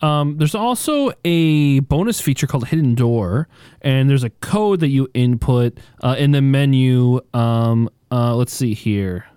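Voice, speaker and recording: Laid-back guy cohosting a podcast, mid pitch, dry sound, kinda self-deprecating. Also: unhurried, warm tone, clear, resonant tone, no room sound. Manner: easygoing, self-deprecating, reads from notes. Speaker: podcast co-host. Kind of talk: casual chat.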